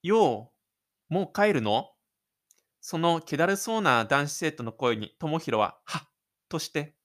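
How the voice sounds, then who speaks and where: Male narrator for audio drama, slightly high register, dramatic scripted storytelling. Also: a bit bright.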